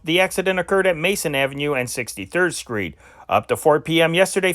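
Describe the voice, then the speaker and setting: A man's voice: fairly high pitch, fairly bright tone, no room sound, clean studio sound. A man reading scripted news reports as an amateur, carefully spelling out names and figures with occasional stumbles. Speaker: amateur reader of historic newspaper reports.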